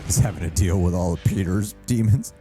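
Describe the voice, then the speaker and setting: A man's voice: low voice, brisk. A man chatting casually and in slang as co-host of a reaction show. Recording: loud game music behind voice.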